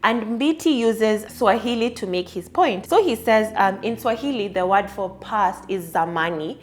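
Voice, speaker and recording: A woman's voice: mid-range voice, moderate pace, slight background noise. A woman hosting a solo podcast, talking through an idea in a thoughtful, explanatory and conversational way. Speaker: podcast host. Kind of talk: solo reflective podcast monologue.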